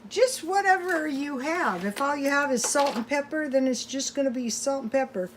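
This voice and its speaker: A woman's voice: high voice, lively intonation, normal speed, slight background noise. A woman chatting casually to viewers while cooking at home, thinking aloud in a rambling, folksy way. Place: home kitchen.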